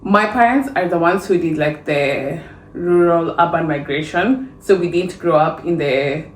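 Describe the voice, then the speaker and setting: A woman's voice: on the low side, measured pace. Laid-back Kenyan woman, echoey room. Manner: relaxed, playful, laughs and jokes. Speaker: podcast co-host. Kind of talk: casual chat. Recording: roomy with faint background hiss.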